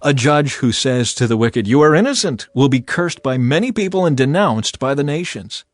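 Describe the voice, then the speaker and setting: A man narrating a dramatized audio Bible in an expressive, measured, solemn and exhortative way. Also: mid-range voice, no room sound. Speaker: scripture narrator. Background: background music.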